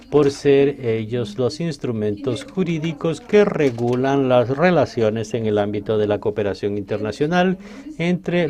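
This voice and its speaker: A man giving a formal prepared speech as a government education representative, reading in a measured way. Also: mid-range voice, dark tone. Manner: reads a prepared statement, measured.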